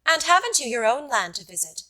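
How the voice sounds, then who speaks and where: A woman's voice: sits high, big pitch swings, fairly quick, sharp tone, voice pushed up, heavily acted, poor audio. A woman reading an audiobook, voicing a blunt, talkative young Irish lady with an eager, abrupt, questioning manner. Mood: challenging. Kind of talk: audiobook character dialogue.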